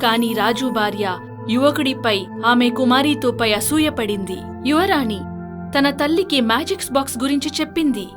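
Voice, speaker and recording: A woman tells a children's fairy tale as a scripted narrator. Her voice is notably high-pitched, with music playing behind it. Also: animated, moderate pace.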